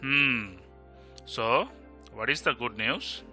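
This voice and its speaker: A man's voice: medium pitch, takes it slowly, lightly acted. An actor as a young Indian man in an audio drama. He is witty and bookish and talks with an Indian accent, with music playing behind him. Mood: warm, friendly. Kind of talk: scripted audio drama dialogue.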